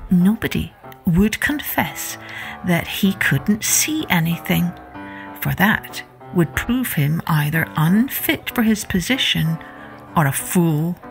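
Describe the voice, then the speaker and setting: A woman narrating a children's storybook as a podcast host, in an expressive, deliberate manner. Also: on the low side, creaky, faint music behind.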